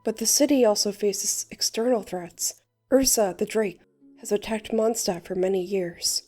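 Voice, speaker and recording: A woman's voice: mid-range voice, normal speed, warm tone, soft background music, natural voice. A woman playing a theatrical young male bard in a fan dub, telling tales with a lilting, old-fashioned delivery. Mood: grave storytelling. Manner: lilting, archaic rhyming delivery. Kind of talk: fan dub character acting and storytelling narration.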